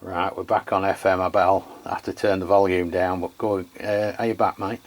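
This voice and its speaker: A man's voice: deep voice, normal speed. An English man with an English accent, chatting casually with fillers while testing a CB radio. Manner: relaxed, hesitant, many fillers. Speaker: CB radio reviewer. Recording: radio on-air test.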